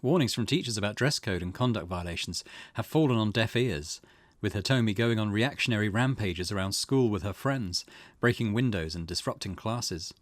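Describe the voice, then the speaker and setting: A man hosting a gaming video, reading visual novel text aloud as expressive narration. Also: lowish voice, normal speed, hardly any pauses, no echo.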